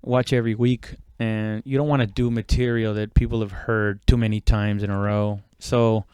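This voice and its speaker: A man chatting casually and haltingly as a comedian on his own podcast, swearing freely. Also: lowish voice, level tone, darkish tone, clean tone, no room sound. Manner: informal, halting, heavy swearing. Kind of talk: casual rambling solo chat.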